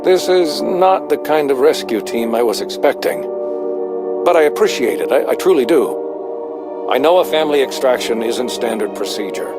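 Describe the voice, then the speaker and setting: A man's voice: medium pitch, expressive, moderate pace, loud music behind the voice, close to an everyday voice. An actor playing an earnest retired spy and family father in a video game, anxious and hesitant. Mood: apologetic, grateful. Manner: earnest, hesitant, repeats himself. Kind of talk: scripted video game dialogue.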